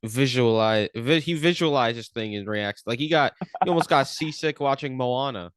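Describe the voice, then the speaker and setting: A man hosting a podcast in relaxed, teasing chat. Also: medium pitch, moderate pace, smooth, dry recording. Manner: teasing, laid-back. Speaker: podcast host. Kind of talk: casual chat.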